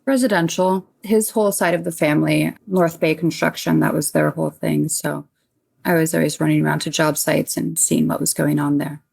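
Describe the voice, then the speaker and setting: A woman's voice: low voice, steady tone, moderate pace, creaky, a little mellow, slightly rough. A woman from Northern California talking casually about herself as an interview guest. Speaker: interview guest, renovation business partner.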